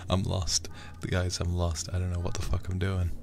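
A man streaming a game in ASMR style, reading aloud and commenting in a hushed, intimate way close to the microphone. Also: sits low, completely flat delivery, a little hiss or hum. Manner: hushed, slow and intimate delivery with breathy whispers.

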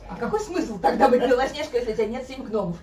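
A woman in her thirties, a guest on a sofa in a living room, talking in a thoughtful, measured way, recorded by a camera across the room. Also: on the high side, lively intonation, warm tone. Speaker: guest, fairy-tale therapist. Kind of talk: casual conversation. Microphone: fixed camera across the room. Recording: echoey room, mic at a distance, faint hiss.

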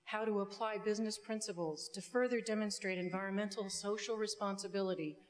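A middle-aged woman giving a prepared commencement speech as a student speaker, measured and deliberate, with some ad-libs. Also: medium pitch, fairly even intonation. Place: indoor auditorium stage. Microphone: podium microphone. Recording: long hall reverb from stage sound system.

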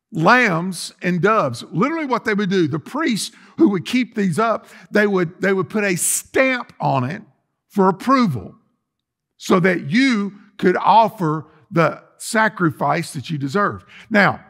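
A man's voice: sits high, gravelly, a bit bright. A middle-aged man preaching as a pastor in a church, explaining things conversationally and putting questions to his listeners. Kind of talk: sermon, conversational teaching. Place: church sanctuary, indoors.

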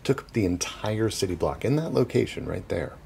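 A man's voice: lowish voice, sing-song, a little fast, gravelly, warm tone, slight background noise. A young man guiding a walking tour outdoors on city streets, chatty and casual, often laughing between points. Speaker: tour guide.

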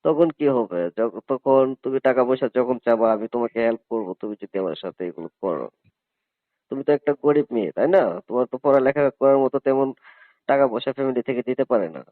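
Man playing a fast-talking, pushy hostel superintendent. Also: medium pitch, lively intonation, dry recording, phone-quality sound, lightly acted. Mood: persuasive. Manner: insistent, persuasive, pressuring. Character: pushy, coercive male college hostel superintendent propositioning a student. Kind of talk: staged phone-call drama.

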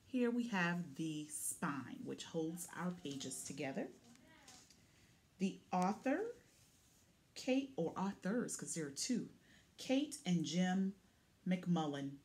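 Woman, middle-aged, children's read-aloud, lively intonation, unhurried pace. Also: lowish voice. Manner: deliberate, expressive reading. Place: small room indoors.

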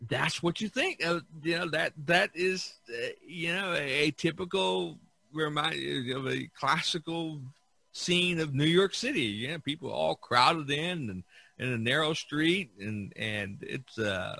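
Bright-voiced man hosting, halting delivery. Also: fairly high pitch, creaky, no echo. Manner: halting, repeating words as he searches. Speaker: podcast host. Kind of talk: casual art discussion.

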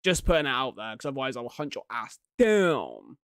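A man's voice: mid-range voice, sing-song, brisk, smooth, no room sound. A man with a British accent giving chatty, casual reaction commentary into a close desktop microphone, with colloquial tag questions. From United Kingdom. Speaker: reaction video host. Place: small indoor room. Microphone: desktop condenser microphone, close. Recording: loud music behind. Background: music from the video being watched.

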